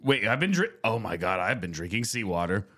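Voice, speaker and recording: A man's voice: on the low side, very animated, a little fast, pushed and bright, slight background noise. A man co-hosting a comedy podcast, hamming it up in an improvised sketch with goofy, exaggerated lines and frequent laughter. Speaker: podcast co-host.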